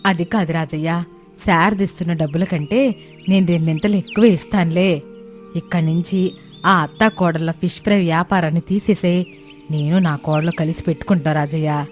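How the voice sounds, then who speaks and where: A woman's voice: on the low side, steady tone, normal speed, soft background music, lightly acted. A woman playing an envious, scheming, pushy village mother-in-law with an insistent, grumbling way of talking in a cartoon moral story. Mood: pleading, envious.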